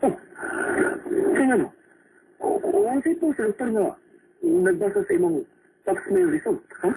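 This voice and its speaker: A man's voice: very high-pitched, sing-song, normal speed, creaky, heavily acted. A man playing an anxious husband in a radio drama, earnest and dramatic. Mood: flustered. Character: anxious husband confessing his troubles. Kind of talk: Philippine radio drama acting in Cebuano. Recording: noisy radio broadcast recording.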